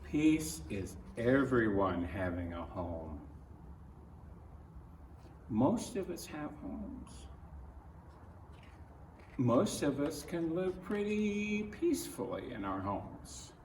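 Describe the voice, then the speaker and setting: A man from Ohio with an American accent reads a picture book aloud to children, adding his own earnest, gentle comments in halting phrases. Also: mid-range voice, sing-song. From Ohio, United States. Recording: roomy echo, distant mic, faint noise.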